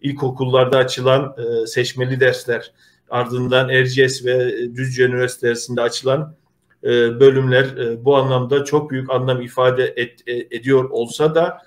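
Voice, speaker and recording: Middle-aged man presenting, halting and earnest. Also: medium pitch, flat delivery. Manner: earnest, halting, polite appeals to viewers. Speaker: host of a community video show. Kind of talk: informal presenting to an online audience.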